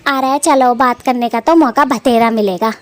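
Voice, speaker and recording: A woman's voice: a little high, normal speed, lightly acted. A woman voicing a fond, scolding, matchmaking elder sister bird in a cartoon story, with a homely, bossy, nagging manner. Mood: fussing, coaxing. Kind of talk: animated cartoon story dialogue.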